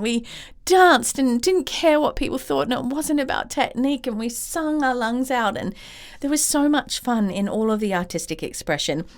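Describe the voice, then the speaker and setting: A woman hosting her own podcast as a creativity coach, talking straight to listeners in an encouraging way, a little croaky from a chest cold. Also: high voice. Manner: warm, encouraging, direct to listeners. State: slightly croaky from bronchitis. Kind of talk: solo podcast talk.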